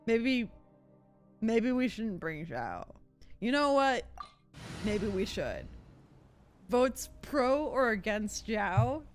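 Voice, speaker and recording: A woman giving casual gameplay commentary as a streamer, thinking out loud and often second-guessing herself in a giggly way. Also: fairly high pitch, sing-song, drawn-out words, long pauses. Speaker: game streamer. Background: game music and character voice lines.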